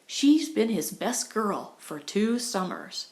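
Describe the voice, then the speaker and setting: A woman's voice: medium pitch, sing-song, slow pace, darkish tone. A woman narrator voicing an outspoken young girl, a rebellious younger sister with a frank manner, in an audiobook. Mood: frank, confiding.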